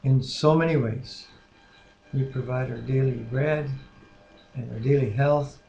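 Gravelly older man in a roomy space. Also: mid-range voice, fairly even intonation, a little slow, warm tone, muffled recording. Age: 60s. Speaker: co-host of a Bible reading series. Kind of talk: casual devotional talk, prayer and scripture reading. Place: living room at home. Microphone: webcam microphone at arm's length. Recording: roomy webcam audio with faint noise.

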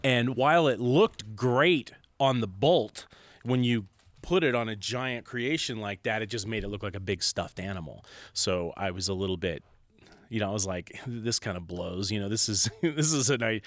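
Low-voiced joking man in a dry studio. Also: dry recording. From United States. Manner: jokey, animated storyteller with quick laughs. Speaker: special effects artist and convention organizer. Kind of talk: casual interview chat. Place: his workshop studio.

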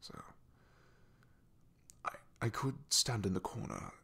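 A man playing an awkward, loyal knight in a soft ASMR fantasy roleplay, half-whispering in a breathy, gravelly voice with a hesitant, apologetic, deferential manner. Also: on the low side, wildly swooping pitch, exaggerated voice. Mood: apologetic, earnest. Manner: hesitant, apologetic, halting with throat clearing.

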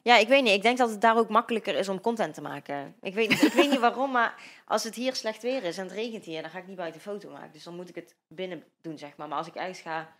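A woman's voice: mid-range voice, quick pace, few pauses, fairly bright tone. A 22-year-old woman with a Dutch accent, a guest in a casual interview, talking hesitantly with many fillers and restarts into a handheld mic. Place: bright living-room studio with sofa. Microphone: handheld microphone.

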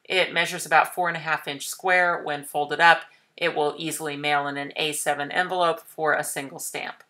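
A woman in her thirties calmly walking viewers through a papercraft project step by step, in a gentle, patient, explanatory way. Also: deep voice, moderate pace. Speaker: craft tutorial host. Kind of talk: calm step-by-step craft demonstration.

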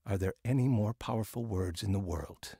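Gravelly man as a troubled husband, acted. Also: lowish voice, moderate pace, loud music behind the voice, natural voice. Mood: reflective narration. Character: proud, remorseful husband and father recounting his life. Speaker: lead actor and first-person narrator. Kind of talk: dramatised testimony radio drama.

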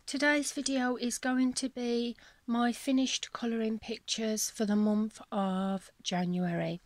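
A woman hosting a colouring channel, chatting casually at her table at home, her voice croaky from a cold and her talk apologetic and rambling. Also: a little high, steady tone, measured pace, no room sound. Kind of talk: casual chat showing colouring books. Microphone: camera on a selfie stick above the table.

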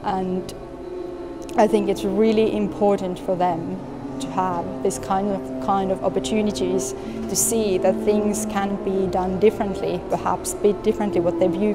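A woman from Finland in her thirties, a double bass coach, speaking thoughtfully and searching for words in a documentary interview. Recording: music bed underneath.

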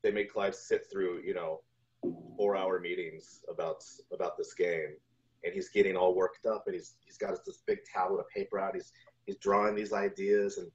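A man's voice: deep voice, level tone, normal speed. A man in his forties chatting casually as an interview guest, telling stories from his work. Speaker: guest, video game composer. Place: home room on a video call. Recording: dull lo-fi video call audio.

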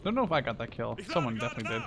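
A man's voice: mid-range voice, sing-song, very fast. A man in his twenties giving casual, rambling, sweary gameplay commentary. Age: 20s. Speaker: gaming video creator. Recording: game gunfire and artillery noise. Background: shooter game gunfire and explosions.

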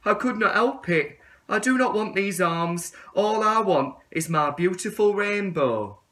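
A man's voice: high-pitched, lively intonation, measured pace, sharp tone, recorded from a distance, voice pushed up, heavily acted. A man voicing a lovestruck young male tadpole in a children's storybook, earnest, eager and apologetic. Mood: pleading. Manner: earnest, pleading.

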